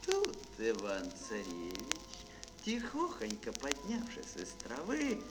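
Male fairy-tale narrator: theatrical, extremely animated melody, unhurried dramatic pauses, music clearly behind. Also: medium pitch, low-quality recording. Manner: expressive, dramatic phrasing with pauses. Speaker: storyteller.

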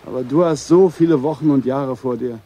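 A composed adult man, voiced by an actor talks politely and with care in a radio drama. His voice is warm and dark at a medium pitch. Faint background noise sits under his measured questions. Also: natural voice. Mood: soothing, urging. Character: composed, courteous adult man, discreet confidant. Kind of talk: German radio drama acting.

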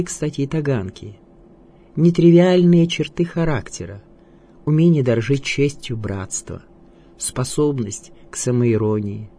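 A man reading a scripted biographical narration in a measured, literary and declamatory way. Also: mid-range voice, warm tone. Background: music.

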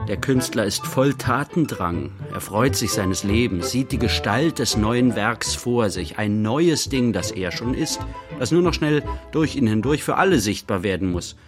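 An actor playing the narrator of a literary radio play, telling an artist's day in measured, short phrases. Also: male voice, fairly low pitch, expressive, over music. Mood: measured narrating. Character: narrator recounting an artist's day in present tense.

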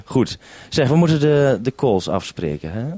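Mellow-voiced man on radio link. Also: medium pitch, very animated, moderate pace. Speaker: radio programme maker. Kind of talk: two-way radio conversation with handovers.

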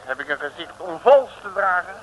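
An actor playing a brash, jovial Dutch detective with colloquial, wisecracking bluster in an old radio comedy serial. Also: male voice, high-pitched, lively intonation, brisk. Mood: indignant, blustering. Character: brash, jovial, scrappy male detective, street-smart joker. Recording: noisy old radio recording.

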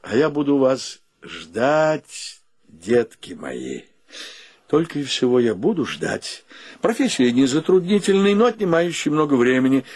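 An actor in a Russian-language radio play, playing a sentimental, proud, poor bachelor who talks in a drawn-out, theatrical way. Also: male voice, mid-range voice, sing-song, a little mellow, slightly rough. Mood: tender, resigned. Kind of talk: Soviet radio play acting.

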